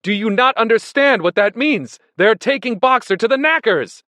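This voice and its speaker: A man voicing a frantic donkey in a storybook, shouting urgent warnings in agitation. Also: very high-pitched, lively intonation, moderate pace, dry recording, clean studio sound, voice pushed up, full character voice. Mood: grim, urgent.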